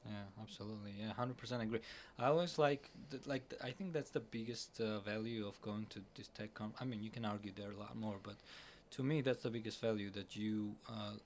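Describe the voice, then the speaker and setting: A man's voice: fairly low pitch, steady tone, a little fast, hardly any pauses, slight background noise. A young man hosting a casual podcast interview at a conference, enthusiastic, with many fillers and restarts. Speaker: podcast host. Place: busy conference hall.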